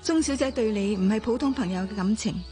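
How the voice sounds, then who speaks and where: Composed, high-voiced Hong Kong woman, played by an actress. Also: quick pace, mellow, faint music behind, lightly acted. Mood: calm, probing. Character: composed, perceptive young woman set on divorcing. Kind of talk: Cantonese radio drama acting.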